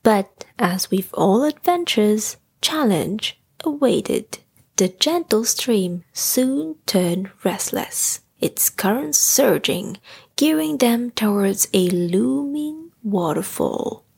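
Slow, husky woman telling a bedtime story. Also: mid-range voice, animated, a little mellow. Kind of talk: scripted bedtime story narration.

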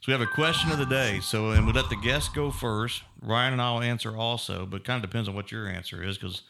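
A man's voice: lowish voice, fast, vocal fry, background noise. A man hosting a podcast, chatty and rambling, who laughs easily. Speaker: podcast host. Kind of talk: casual chat. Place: podcast room.

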